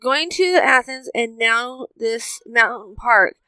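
A woman's voice: a little high, lively intonation, slow, bright, forceful tone, clear, resonant tone, dry recording, full character voice. A woman playing a weary, wistful young-hero ranger in an audio drama. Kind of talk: audio drama character acting.

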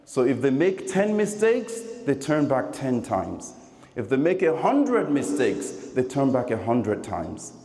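A man delivering a Friday sermon in a deliberate, emphatic way into a stand microphone in a large mosque. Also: mid-range voice, big pitch swings, warm tone. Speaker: preacher giving a Friday sermon. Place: large mosque prayer hall, indoors. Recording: echoey hall with long reverb.

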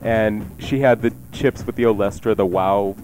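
A man's voice: on the low side, moderate pace, darkish tone. A man hosting a casual radio cooking show, conversational, with wry asides. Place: radio studio. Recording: music playing behind the voice. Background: music.

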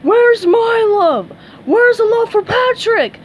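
A woman's voice: very high voice, expressive, slow, a little hiss or hum, pitched above the performer's own voice, full character voice, low-quality recording. A woman voicing a sulky, furious chubby male cartoon starfish, bellowing in a storybook reading. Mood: furious, wounded. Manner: brooding, bellowing when enraged.

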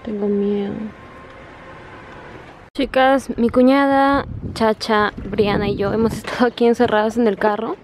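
High-voiced young woman vlogging. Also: fairly even intonation, measured pace, darkish tone. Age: young adult. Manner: chatty, often addressing viewers directly. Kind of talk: casual confiding vlog chat. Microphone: camera at arm's length. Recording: clear background noise, handheld camera.

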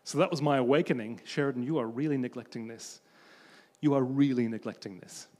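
A man from Australia giving a conference talk about faith and friendship, telling stories in an animated way as he moves around a large stage with a handheld mic. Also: medium pitch, a little fast. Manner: animated storytelling, pacing the stage. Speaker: conference speaker. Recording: long hall reverb.

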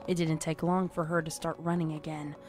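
Female horror story narrator, fairly low voice, measured and dramatic, clear music underneath. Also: level tone, darkish tone. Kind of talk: dramatic scripted narration of horror fan fiction.

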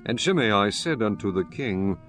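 A man with a fairly low voice narrates scripture as a solemn, formal story. It is a scripted dramatized reading with soft music underneath. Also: expressive, moderate pace. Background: soft background music.

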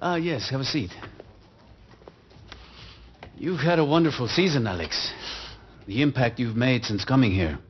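A man playing a middle-aged football coach in a video game, intense and burdened by regret, speaking in a dramatic, measured way with emphatic pauses. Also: medium pitch, expressive, husky, lo-fi sound. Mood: calm approving. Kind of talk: cinematic game acting, motivational speech.

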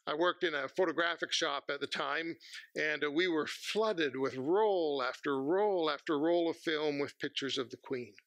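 A man preaches from a pulpit in a slightly high, gravelly voice. His tone is bright and forceful, and the sound is dry and close on a headset mic. He speaks in a measured, earnest way, pausing between phrases. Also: no room sound. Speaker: pastor. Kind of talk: sermon. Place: church sanctuary, at a pulpit.

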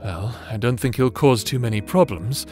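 A man's voice: medium pitch, natural voice. A man playing a doting, theatrical necromancer husband in a romantic ASMR audio drama, teasing and formal in his diction. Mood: musing. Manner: teasing, slow, formal old-fashioned diction. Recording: soft instrumental music bed behind voice.

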